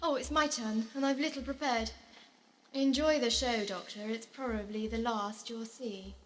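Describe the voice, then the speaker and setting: A woman's voice: a little high, fairly even intonation, moderate pace, a little hiss or hum, close to an everyday voice, rough recording. A woman playing a cynical, defiant space raider in an audio drama, curt and prickly. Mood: defiant, guarded. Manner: curt, prickly, self-possessed. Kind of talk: amateur audio drama acting.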